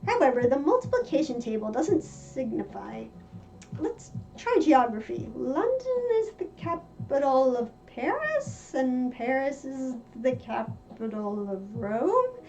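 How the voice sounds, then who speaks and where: A woman's voice: sits high, sing-song, measured pace, a little mellow, slight background noise, exaggerated voice. A woman voicing an anxious, bewildered little storybook girl in a dramatic, exclaiming manner. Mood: puzzled, fretful. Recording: muffled lo-fi with music behind.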